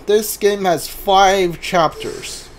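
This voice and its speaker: Higher-voiced male game streamer. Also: drawn-out words. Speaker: gameplay streamer. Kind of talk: casual playthrough commentary, reading on-screen text aloud. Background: game music.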